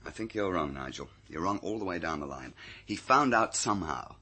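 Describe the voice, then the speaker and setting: Brash, husky radio-thriller agent. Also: male voice, deep voice, animated, a little fast, pushed and bright, full character voice. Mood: insistent. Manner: clipped, sardonic, old-fashioned British slang. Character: brash, blunt male British security agent, insolently sure of himself. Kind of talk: old-time radio thriller acting.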